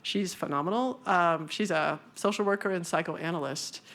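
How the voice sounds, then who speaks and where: A woman's voice: normal speed, fairly bright tone. A woman speaking casually and with humor as a speaker at a scientific conference, with many fillers. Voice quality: high-pitched voice.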